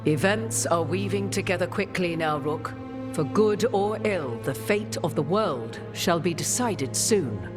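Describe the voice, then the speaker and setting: A woman playing a grave, cryptic sorceress in a video game, speaking in formal, archaic, measured phrases. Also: lowish voice, lively intonation, music in the background. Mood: solemn. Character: grave, cryptic sorceress ally with ancient memories. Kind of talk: video game cutscene acting.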